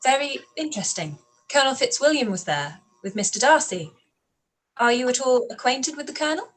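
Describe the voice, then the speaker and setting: An earnest, sharp-witted young woman, played by a woman in a live-read radio play. Her voice sits high and she makes her points in an articulate, pressing way. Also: expressive, brisk, rough recording. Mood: cool.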